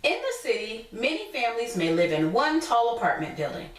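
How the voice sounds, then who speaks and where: A woman teacher reading a picture book aloud to young children, pausing to explain things simply in her own words. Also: on the high side, very animated. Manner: instructive, repeats and explains points simply. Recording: echoey room, distant from mic.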